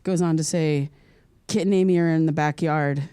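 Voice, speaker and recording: An actress playing a wry, self-deprecating podcaster who mutters halting asides as she muses and reads aloud alone in a naturalistic audio drama. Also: deep voice, fairly even intonation, dark tone, smooth, dry recording, studio quality, natural voice. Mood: musing, reading aloud.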